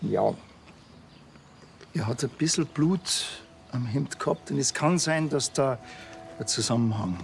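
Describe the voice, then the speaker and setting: An actor playing a blunt, awkward middle-aged village policeman in a TV crime comedy, with a Bavarian accent and a halting, deadpan delivery. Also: medium pitch, gravelly, slight background noise.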